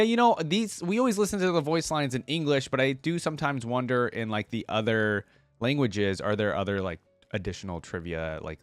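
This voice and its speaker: A man's voice: medium pitch, no echo. A man hosting a reaction video, chatting casually and thinking aloud as he rambles on and addresses his viewers directly. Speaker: YouTube reaction host. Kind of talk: casual chatty game-lore commentary to camera.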